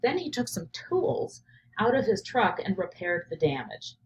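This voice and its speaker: A woman teacher reading a picture book aloud and pausing to reflect on it out loud, often checking in with "right?". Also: medium pitch, big pitch swings, poor audio. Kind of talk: read-aloud of a picture book with think-aloud commentary.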